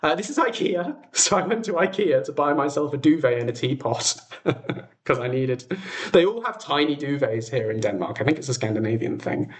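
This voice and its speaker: Animated, whimsical British man chatting casually. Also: on the high side, moderate pace. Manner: enthusiastic, whimsical. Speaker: livestream host. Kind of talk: casual chat to viewers.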